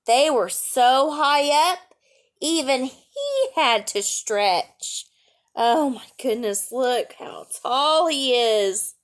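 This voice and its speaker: A woman's voice: high voice, very animated, very slow. A woman reading a picture book aloud to young children, adding chatty asides, simple explanations and questions for the listeners. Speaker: storytime reader and teacher.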